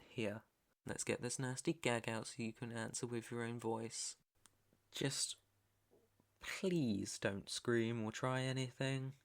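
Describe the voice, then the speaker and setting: A man performs a young British crime heir in an intimate audio roleplay. He speaks at a slow, deliberate pace in a mid-range male voice. His manner is controlling and possessive, coaxing yet menacing. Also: medium pitch, fairly even intonation. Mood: coaxing, controlled. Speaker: ASMR roleplay voice actor. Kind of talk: ASMR roleplay, second-person dramatic acting.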